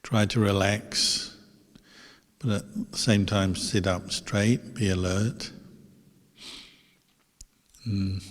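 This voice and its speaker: A man who is a meditation teacher leads a guided meditation in gentle, unhurried instructions with pauses. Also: lowish voice. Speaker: Buddhist monk, meditation teacher. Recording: long hall reverb.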